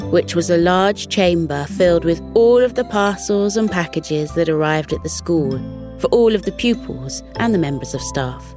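Female bedtime story host: lowish pitch, animated, expressive scripted delivery, careful diction.

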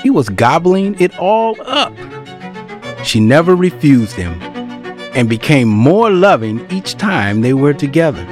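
A man narrates a scripted audio drama in a mid-pitched voice. He tells it like a storyteller, with clear music playing behind him.

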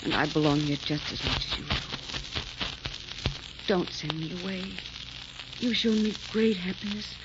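A woman's voice: lowish voice, unhurried, pauses often, natural voice. An actress playing a devoted, spirited young woman in love, earnest and melodramatic in a 1940s radio romance. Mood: pleading. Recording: muffled crackly 1940s radio recording, music.

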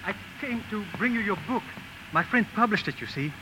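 An actor playing a shy, humble professor with a foreign accent, speaking formally and hesitantly in a 1950s radio drama. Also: male voice, high voice, expressive, brisk, noisy background. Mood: tender, hesitant. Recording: old radio broadcast with music.

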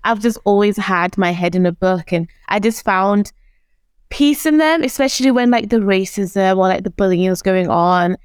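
A young woman from Zimbabwe whose first language is Shona, talking as a guest in an animated, casual interview and acting out the voices she quotes. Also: mid-range voice, moderate pace, clean tone, no echo, clean studio sound.

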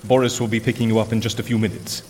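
A man playing a brisk, exasperated organiser in an audio drama comedy. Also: lowish voice, level tone, a little mellow, in a large hall, slight background noise, natural voice.

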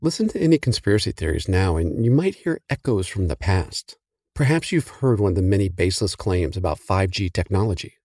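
A man hosting a news podcast, narrating a script in a measured, reflective way and putting questions to a guest. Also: deep voice, very animated, darkish tone, dry recording, studio quality. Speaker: podcast host. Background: music bed.

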